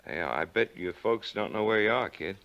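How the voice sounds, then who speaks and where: A man's voice: lowish voice, lively intonation, normal speed. An actor playing a nervous, menacing man with a guilty secret, stammering and evasive, in 1940s radio suspense style. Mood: nervously coaxing. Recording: dull, band-limited 1940s radio recording.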